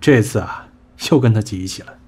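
An actor in a Chinese audio drama playing a sharp-tongued entertainment boss, blunt, scolding and colloquial. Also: male voice, on the low side, a little fast, breathy edge. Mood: grumbling.

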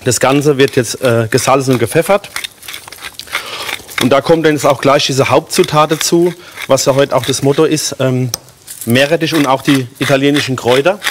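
German chef in his thirties, mid-pitched, plain explainer, lapel mic, faint pan sizzle behind. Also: moderate pace, background noise. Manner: explains steps plainly with many fillers. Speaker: guest chef. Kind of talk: cooking demonstration chat. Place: bright TV studio kitchen.

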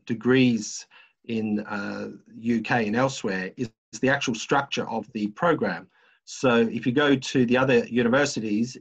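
A man's voice: medium pitch, slightly rough. An older man with an Australian accent, giving a university webinar presentation from a home office over a video call, hesitant with many fillers. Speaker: university lecturer. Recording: dull lo-fi video call audio.

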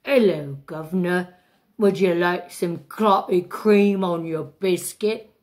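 A woman's voice: fairly low pitch, slow pace, darkish tone. A woman putting on a hammy fake Cockney. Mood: chirpy.

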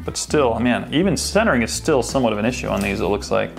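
Man, gravelly voice, casual unboxing chatter, thinks out loud, faint music underneath. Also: mid-range voice, animated, fast talker. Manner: chatty, thinks aloud while sorting cards. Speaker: host opening sports card packs.

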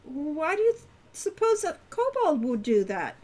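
A curious, spirited teenage girl from a storybook, chatty and eager, played by a woman reading aloud. She speaks high with lots of movement in her voice. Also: sing-song, a little slow, a little mellow.

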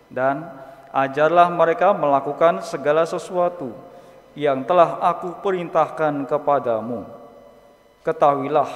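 Priest preaching real slow at a lectern mic, middle-aged guy, big echoey church. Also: a little high. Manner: slow, measured delivery with long pauses between phrases. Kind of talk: sermon and gospel reading at Mass. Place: large church. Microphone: stand mic at a lectern. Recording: long church reverb.

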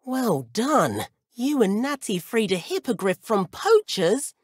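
A woman's voice: fairly high pitch, big pitch swings, slow pace, husky, no echo, studio quality, heavily acted. A woman voicing an enthusiastic young schoolboy wizard in a video game. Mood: impressed. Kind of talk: video game character dialogue.

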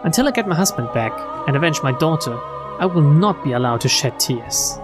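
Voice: mid-range voice, animated, brisk. A streamer reading visual novel text aloud in a dramatic narrating style. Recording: game music behind the voice.

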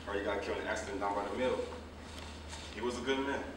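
A man's voice: on the low side, steady tone, rapid-fire, close to an everyday voice. An actor playing a respectful, subdued neighbourhood man in a staged play reading. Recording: very noisy echoey room, distant mic.

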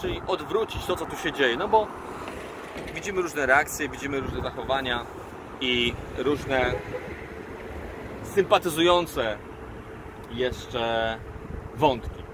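A man in his forties, a tour guide, explaining history informally to camera in a halting way, with pauses mid-phrase. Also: medium pitch. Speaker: tour guide and travel vlogger. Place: outdoors by a road bridge. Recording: loud outdoor traffic noise. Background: passing cars and vehicles.

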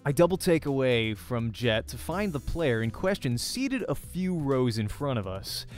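A man's voice: mid-range voice, very animated, unhurried. A man doing a let's play, reading a visual novel's narration aloud with expression. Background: faint music.

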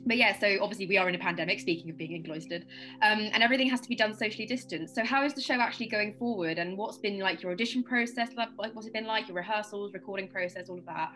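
A woman's voice: medium pitch, quick pace, bright, forceful tone, faint music behind. A woman in her twenties casually hosting an interview over a video call. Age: 20s. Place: indoor room, video call.

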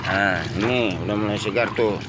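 A man's voice: lowish voice, fairly even intonation, normal speed, heavy background noise. A man with a slightly nasal voice from a cold, chatting as he shows and explains the stones he sells, filming himself with a phone outdoors. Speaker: seller of stones. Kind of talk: casual selling demonstration. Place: outdoor yard, tiled floor. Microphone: handheld phone camera.

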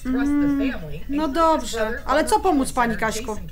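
A woman's voice: sits high, slow pace, crowd noise. An actress playing a polite but assertive young newlywed woman in a radio drama. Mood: polite, cheerful. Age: young adult.

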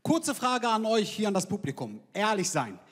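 A man's voice: high voice, very animated, normal speed. A man, performing a brash, slangy stand-up set on stage into a handheld mic. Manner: brash, slangy, punchy delivery. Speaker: stand-up comedian. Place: large theater stage with live audience. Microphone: handheld microphone. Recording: live hall with crowd reactions.

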